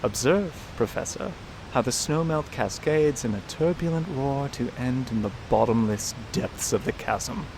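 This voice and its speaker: An actor playing an eloquent, defiant Victorian detective in a radio drama, formal, theatrical and pompous. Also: male voice, medium pitch, lively intonation, measured pace, background noise. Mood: cool, smug. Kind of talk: melodramatic radio drama acting.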